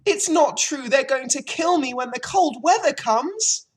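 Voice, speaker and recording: A woman's voice: sits high, huge pitch swings, very slow, a little mellow, pitched above the performer's own voice, full character voice. A woman voicing an anxious, childish young male pig from a storybook, wailing and pleading in an emotional way. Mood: panicked screaming. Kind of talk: storybook character voice.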